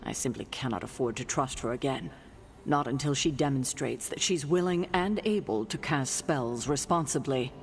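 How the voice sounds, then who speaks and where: An actress playing a stern, distrustful middle-aged guardian of heroes in a video game, speaking formally and with exasperation. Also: female voice, very deep voice, normal speed, creaky, slight background noise. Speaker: leader and guardian of a team of heroes. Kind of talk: dramatic video game acting.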